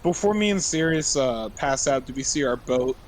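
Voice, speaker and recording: A man with a middle-pitched voice talks casually as a player in a tabletop game. There is a faint layer of noise behind him. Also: lively intonation, moderate pace.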